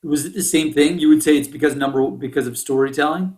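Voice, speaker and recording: A man hosts a casual interview podcast. His voice is a bit higher than most men's, and he speaks in an enthusiastic, slangy, affirming way. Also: fast talker, darkish tone. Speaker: podcast host.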